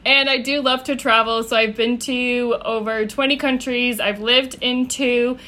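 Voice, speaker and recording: Podcast guest: chatty young woman from New Hampshire, bright, slightly high voice. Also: fairly even intonation, a little slow. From New Hampshire, USA. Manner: chatty, laughs easily. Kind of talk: casual chat.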